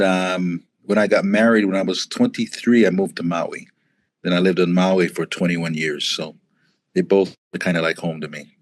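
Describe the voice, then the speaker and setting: A man's voice: low-pitched, no room sound. A man in his forties from Hawaii, a guest on a podcast, telling stories in an easygoing, conversational way. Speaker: guest, motivational speaker. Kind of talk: casual interview.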